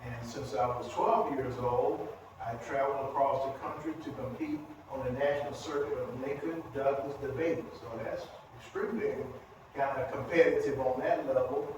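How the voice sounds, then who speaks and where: A man in his seventies speaking in a recorded oral history interview, with deliberate, halting phrasing. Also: mid-range voice, steady tone. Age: 70s. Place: echoey indoor room at a history center. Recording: very echoey room, distant mic, noisy.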